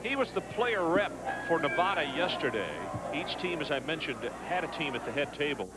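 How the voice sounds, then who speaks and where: A man calling a televised college football game play by play, in a steady, informative broadcaster delivery. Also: on the high side, a little fast, over loud music, rough recording. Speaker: play-by-play announcer.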